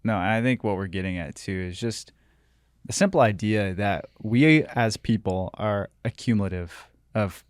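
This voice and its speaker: Young man, low voice, dry sound. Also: moderate pace, no room sound. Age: 20s. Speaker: podcast co-host.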